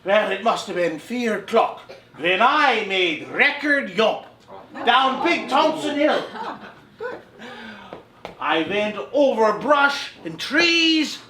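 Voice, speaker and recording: A man's voice: high-pitched, big pitch swings, takes it slowly, bright, forceful tone, heavily acted. A man playing an old Norwegian immigrant farmer with a Norwegian-American accent, telling a tall tale as stage comedy. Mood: boastful. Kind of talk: live vaudeville stage comedy. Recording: echoey hall, live audience laughing.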